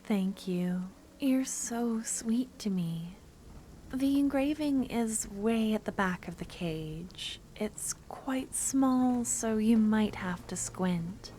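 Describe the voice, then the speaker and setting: A woman voicing a jealous female merchant in a scripted ASMR roleplay, speaking softly and sweetly, with a possessive streak. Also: a little high, lively intonation, slow, noisy background. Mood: sweet, teasing. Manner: soft-spoken, sweetly teasing, turning possessive.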